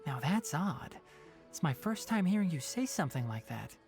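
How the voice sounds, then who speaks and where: A man playing a brisk young forest ranger in a video game, blunt, analytical and articulate. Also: fairly high pitch, big pitch swings, a little mellow, faint music behind. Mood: skeptical, pointed. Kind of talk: video game character acting.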